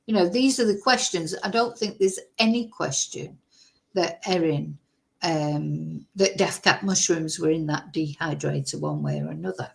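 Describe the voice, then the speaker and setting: A woman from Manchester with a softened Mancunian accent, hosting a casual true-crime livestream, chatty and rambling, greeting viewers by name. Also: sits low, normal speed, creaky. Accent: softened Mancunian English. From Manchester, England.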